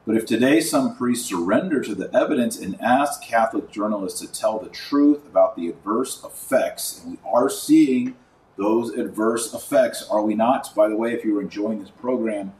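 A man hosting a religious commentary podcast, speaking in an emphatic, didactic, preachy way with deliberate stresses and repetition. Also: fairly low pitch, a little mellow. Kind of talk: preachy commentary to camera or microphone. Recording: roomy echo, distant mic, faint noise.

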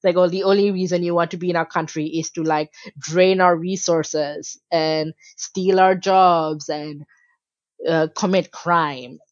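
A woman's voice: on the low side, fairly even intonation, unhurried, dry recording, highs cut off. A woman from Malaysia, a guest in a casual interview, talking with passion and many filler words.

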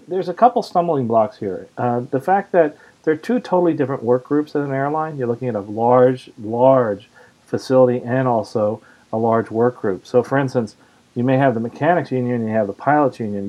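A man's voice: mid-range voice, mellow, rough recording. A man hosting a casual podcast, talking conversationally as he answers listener questions. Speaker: podcast host.

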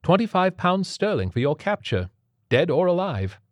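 A man's voice: high voice, sing-song, moderate pace, no room sound, clean studio sound, pitched above the performer's own voice. A man voicing a blunt, wry male captain on the run in an adventure story. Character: gruffly blunt male captain, fellow fugitive in adventure tale.

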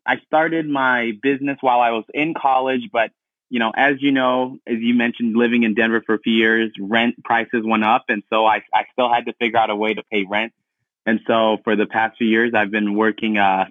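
A young man from Ghana, a podcast guest, telling his story in relaxed casual talk with many fillers. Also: mid-range voice, no room sound. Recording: dry tinny phone-like line.

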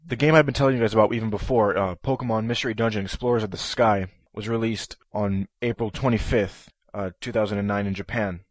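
Male solo podcast host, nasal from a head cold, eager with lots of ums, slightly low voice, dry close sound. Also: fairly even intonation, quick pace, hardly any pauses, no echo. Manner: eager, with frequent ums and restarts. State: stuffy nose from a head cold.

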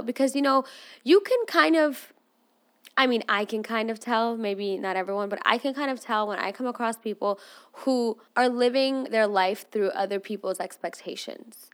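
A young adult woman from Armenia, raised in Russia, hosting a podcast with frank, animated, casually profane commentary. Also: fairly high pitch. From Armenian, grew up in Russia. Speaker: podcast host.

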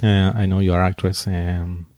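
Male interviewer, low pitch, dry close sound, hesitant and filler-heavy. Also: level tone, moderate pace, no room sound. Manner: hesitant, many fillers, soft backchannels. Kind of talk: conversational interview.

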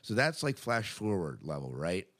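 A man's voice: low voice, sing-song, normal speed, fairly bright tone. A man co-hosting a podcast, giving casual entertainment news commentary in a conversational way with emphatic asides. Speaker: podcast co-host.

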